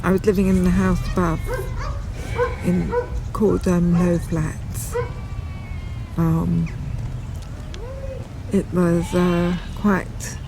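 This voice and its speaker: A middle-aged or older woman, a poet, reading and reflecting in a halting, thoughtful manner. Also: on the low side, completely flat delivery, gravelly, noisy background. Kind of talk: poetic reading and reflective documentary talk.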